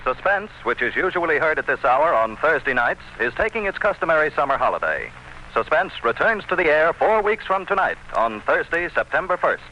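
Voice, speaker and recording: A man's voice: a little high, very animated, a little fast, a little hiss or hum. A man working as a radio announcer, reading a script in a dramatic, declamatory 1940s broadcast style. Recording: dull old radio recording.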